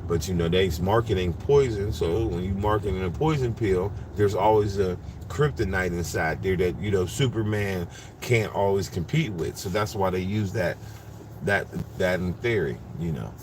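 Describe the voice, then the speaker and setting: A man's voice: low-pitched, normal speed. Man, 40s, rambling livestream talker in a car, street noise behind. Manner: rambling, animated and repetitive, restarts often. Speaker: livestreamer and driver. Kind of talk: casual monologue. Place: inside a parked vehicle.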